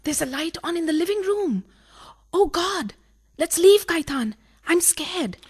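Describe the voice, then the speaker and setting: A woman's voice: very high voice, expressive, a little slow. A woman acting a nervous, guilt-ridden married mistress in a radio farce, fretful and melodramatic. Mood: frightened. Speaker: radio play actor. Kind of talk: farcical radio play acting.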